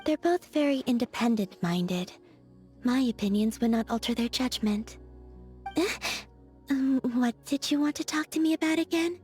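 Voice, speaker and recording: Woman voicing a game character, dreamy young woman, slightly high, breathy, slow and languid, faint music behind. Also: darkish tone, natural voice. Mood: musing. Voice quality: breathy, airy and whispery. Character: dreamy, soft-spoken young woman, aloof and gentle. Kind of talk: video game character voice lines.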